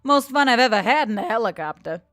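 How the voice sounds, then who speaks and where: A woman's voice: a little high, expressive, fast talker, fairly bright tone, dry recording, studio quality, soft background music. A woman voicing a cheerful female adventurer in an audio story, chirpy and exclamatory.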